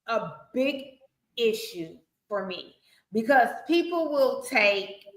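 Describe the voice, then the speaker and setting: A woman's voice: a little high, a bit bright. A woman from Chicago with a Southern-sounding accent, hosting a talk show and speaking emphatically and outspokenly. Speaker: host. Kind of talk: podcast discussion. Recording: echoey room.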